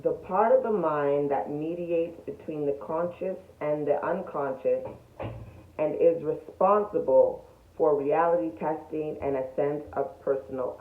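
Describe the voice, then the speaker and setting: A woman's voice: low voice, measured pace. A woman talking casually and emphatically to viewers in a self-development vlog, often posing rhetorical questions. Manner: emphatic, animated, rhetorical questions, frequent chuckles. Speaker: vlogger. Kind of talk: casual spiritual self-development talk to camera. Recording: roomy echo, thin phone-like sound.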